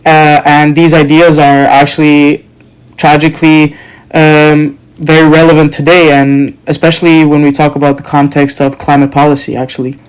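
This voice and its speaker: A young man in his twenties, from Serbia and Norway, a student guest giving thoughtful interview answers, pausing to choose his words. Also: a little high, level tone, clean tone, low-quality recording. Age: 20s.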